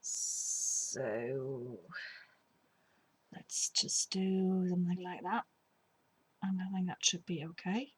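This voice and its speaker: A woman's voice: fairly low pitch, expressive, takes it slowly, pauses often, husky, poor audio. A middle-aged woman with a slight cold, chatting casually and rambling while demonstrating a craft technique. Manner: rambling, chatty, drops to whispers while working. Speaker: craft tutorial host. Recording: faint background noise.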